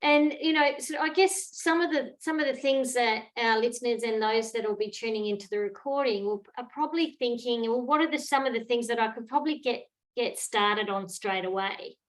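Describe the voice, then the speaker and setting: A woman's voice: a little high, fairly quick, hardly any pauses. An Australian woman hosting an online Q&A, speaking reflectively with many fillers. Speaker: host, moderator. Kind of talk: online webinar Q&A. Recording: online call audio.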